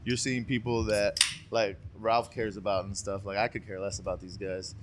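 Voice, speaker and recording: A man's voice: fairly low pitch, little pitch movement, normal speed. A man co-hosting a podcast in casual chat, argumentative and swearing often. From United States. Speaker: podcast co-host. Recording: background noise.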